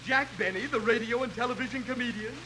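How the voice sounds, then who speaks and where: High-pitched, cranky, needling store clerk. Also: male voice, quick pace. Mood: mock-friendly teasing. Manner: sarcastic, needling. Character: cranky, wisecracking male music store clerk who needles customers. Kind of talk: 1950s radio comedy acting. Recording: noisy old radio broadcast recording.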